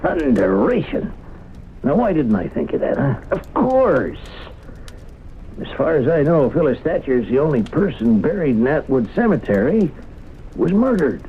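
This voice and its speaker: An actor playing a folksy, exasperated small-town sheriff who blusters and hesitates in a radio drama. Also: male voice, fairly high pitch, sing-song, normal speed. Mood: excited. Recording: noisy, tinny old radio broadcast.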